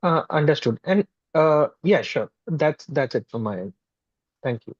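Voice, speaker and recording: Hesitant man, higher-pitched, on muffled line. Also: expressive, a little slow, no room sound. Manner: hesitant, polite. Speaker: analyst caller. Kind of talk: questioning on an earnings call. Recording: dry, muffled conference call line.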